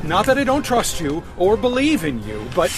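An anxious, protesting man in radio drama style. His voice is high and jumps around as he frets in exasperation. Music is clearly heard behind him. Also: wildly swooping pitch, moderate pace. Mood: exasperated. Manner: fretful, protesting. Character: anxious, cautious film-actor friend, exasperated.

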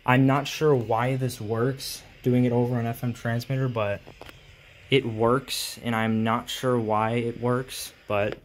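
Male hobbyist host, mid-pitched, casual and halting, talking through a how-to from behind a handheld phone. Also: level tone. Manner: halting, informal, explanatory. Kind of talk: casual tech tutorial vlog. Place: small indoor room at home.

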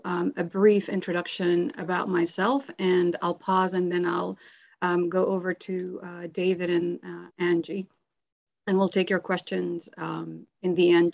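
A woman's voice: on the low side, vocal fry, no echo. A middle-aged woman from Sudan, a native Arabic speaker, speaking earnestly as a panelist on a video call with many fillers. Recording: dry, dull video-call audio.

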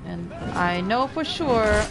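Woman, Arabic accent, first language Arabic, chatty gamer streamer, medium pitch, game music behind. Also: animated, slow pace, creaky, rough recording. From Middle East. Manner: chatty, talks to stream chat while playing. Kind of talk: casual let's play commentary.

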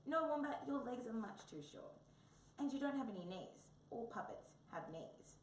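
A woman's voice: a little high, expressive, normal speed, voice pushed up, natural voice. A woman voicing a vain, self-pitying male tabby cat in a children's storybook, pompous and dramatic in manner. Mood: impatient. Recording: roomy echo, faint background noise.

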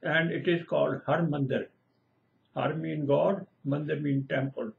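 An older man, a retired lecturer whose first language is Punjabi, slowly and earnestly expounding scripture at home. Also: fairly high pitch, steady tone, creaky. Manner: slow, earnest, lecturing, repeats lists of divine names. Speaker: retired lecturer giving Bible exposition. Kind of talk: home-video scripture teaching. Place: small room indoors at home. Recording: dull lo-fi webcam audio.

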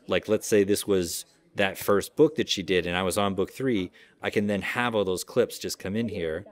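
Male demonstrator, lowish pitch, conversational and explanatory, informal product walkthrough. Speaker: audio software company representative giving a demo.

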